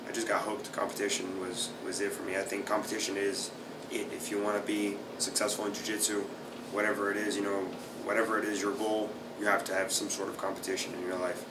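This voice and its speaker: Thirties male fighter, low and fairly monotone, easygoing with many fillers; recorded far off in a reverberant room with noise. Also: quick pace, hardly any pauses. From Brazil, raised in South Florida. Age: 30s. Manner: relaxed, many fillers like uh and you know. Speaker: jiu-jitsu fighter and instructor. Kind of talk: casual interview answers. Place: small plain room indoors, seated in office chair. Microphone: camera at a distance. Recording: echoey room, distant mic, background hum.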